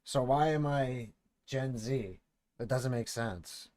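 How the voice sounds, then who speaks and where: A man's voice: medium pitch, takes it slowly, a little mellow. A man in his late twenties, streaming and reacting to a video, chatting casually, thinking aloud and swearing. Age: late 20s.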